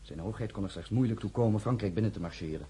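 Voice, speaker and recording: A dutiful military aide played by a male actor in a radio drama. He reports formally and deferentially in a fairly low, mellow voice at a fast pace, with slight hum on the recording. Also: close to an everyday voice. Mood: formal, insistent.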